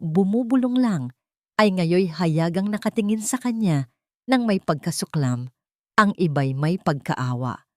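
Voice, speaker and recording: A woman's voice: low-pitched, a little mellow, dry recording, studio quality. A woman narrating a scripted dramatic story.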